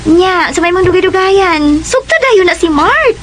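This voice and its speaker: A woman's voice: extremely high pitch, expressive, moderate pace, fairly bright tone, a little hiss or hum. A woman playing a teasing, nudging teenage friend in a Cebuano radio drama, with a Cebuano Filipino accent. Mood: teasing, urging.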